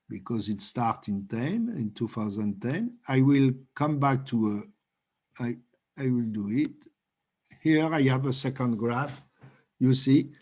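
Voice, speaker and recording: A man with a French accent leads an online training session from a home room, speaking over a video call. He talks slowly and in a halting way, often repeating a word before he finds the next one. His pitch sits in a middle range for a man. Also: a little mellow. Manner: slow, halting, repeats words while explaining.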